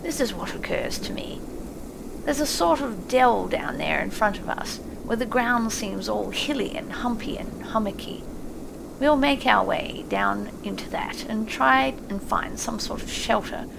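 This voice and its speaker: A woman's voice: a little high, expressive, measured pace, full character voice. A woman voicing a practical, kindly male rat in a storybook reading. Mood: urgent, practical. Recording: clearly noisy, sound effects behind.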